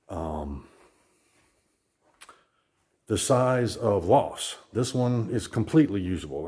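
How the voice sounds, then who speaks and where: A real estate agent with a hoarse, worn voice, chatting casually as he walks through an empty new house. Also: deep voice, darkish tone. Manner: chatty, rambling, self-deprecating. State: hoarse, losing his voice. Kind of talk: casual home tour vlog. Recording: echoey empty rooms, faint smoke alarm chirps.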